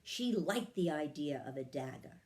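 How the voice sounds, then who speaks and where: A woman reading a children's story aloud in a measured, expressive storytelling manner. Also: low voice. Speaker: story reader. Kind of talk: scripted children's storybook narration.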